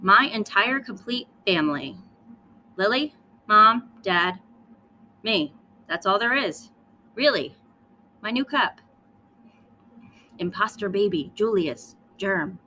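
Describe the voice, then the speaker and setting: A woman's voice: medium pitch, expressive, slow pace, pauses often, sharp tone, a little hiss or hum. A woman voicing a bossy, jealous little girl mouse in a children's storybook, with theatrical, indignant delivery. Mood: sulky. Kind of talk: children's storybook read-aloud.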